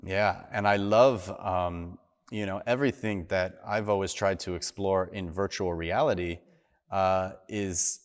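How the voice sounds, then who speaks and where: Man, fairly low pitch, measured earnest conversational delivery, pauses mid-thought, reverberant hall sound. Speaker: host. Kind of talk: reflective conversational interview.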